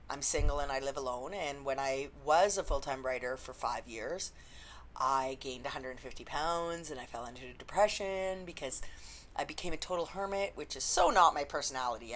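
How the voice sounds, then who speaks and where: A woman talks straight to camera in a loose, unscripted vlog, rambling with plenty of ums. Her voice sits a little low and carries a slight husky edge. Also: fairly bright tone. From Canada. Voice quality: slightly husky after illness. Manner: chatty, rambling, frequent ums. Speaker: indie romance author.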